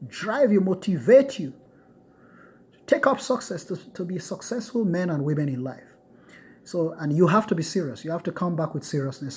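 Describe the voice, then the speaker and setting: A man's voice: on the high side, a little mellow, slightly rough. A middle-aged man from Nigeria giving an emphatic, repetitive motivational lecture to his students.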